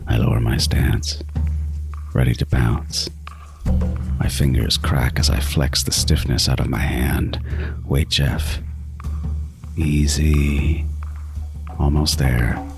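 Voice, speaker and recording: An actor playing an obsessive, meticulous serial killer, narrating a horror podcast in an intense, deliberate, breathy and at times hushed voice. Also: male voice, extremely low pitch, steady tone, heavily acted. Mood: tense, predatory. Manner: intense, menacing, deliberate first-person narration. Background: music.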